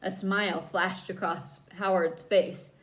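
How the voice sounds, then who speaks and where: A woman reading a children's book aloud as a storyteller, with dramatic emphasis and drawn-out key words. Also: mid-range voice, steady tone, fairly bright tone. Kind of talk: expressive read-aloud narration for children.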